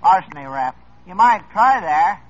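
A sly high-voiced police stoolie. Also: male voice, animated, unhurried. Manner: sly, chuckling, drawling. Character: sly male small-time police informer. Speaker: police informant. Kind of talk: 1950s radio drama acting. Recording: dull old radio broadcast.

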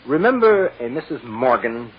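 A man playing a cool, wry, confident defense lawyer with a brisk, commanding manner, in 1940s radio drama style. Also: mid-range voice, big pitch swings, husky. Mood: urgent, commanding. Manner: brisk, dry, teasing, commanding. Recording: dull, noisy old-time radio recording.

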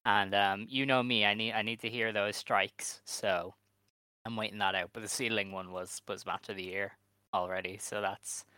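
A man's voice: lowish voice, fairly even intonation, normal speed, bright, forceful tone, smooth, no room sound. A man hosting a podcast, upbeat and talkative in casual chat, laughing easily. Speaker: podcast host.

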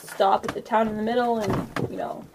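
A woman's voice: mid-range voice, steady tone, a little fast, noisy background. A woman hosting a tabletop role-playing game casually as game master, chatty and informal, with a self-deprecating way of talking. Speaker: game master and podcast host.

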